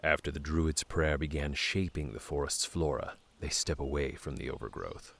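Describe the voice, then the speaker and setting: A man's voice: extremely low pitch, moderate pace, vocal fry, a bit bright. A man narrating a scripted fantasy story for an audio drama.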